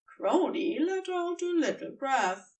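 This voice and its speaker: A woman's voice: extremely high pitch, big pitch swings, slow, darkish tone, distant mic. A woman reading scripted story narration as the narrator of an audio fiction piece.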